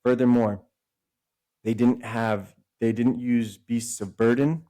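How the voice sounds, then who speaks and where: A man's voice: mid-range voice, level tone, takes it slowly, dark tone. A man hosting a podcast, eagerly explaining at length with many asides and self-corrections. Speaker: podcast host and author. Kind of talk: casual podcast conversation.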